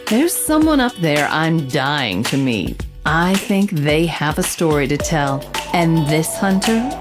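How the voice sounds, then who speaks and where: A woman playing a bold reporter, narrating a mystery in a measured, suspenseful storytelling style. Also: low-pitched, sing-song, exaggerated voice. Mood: wry, determined. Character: bold female holo-caster reporter recounting a mystery. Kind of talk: scripted dramatic narration over music. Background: prominent music.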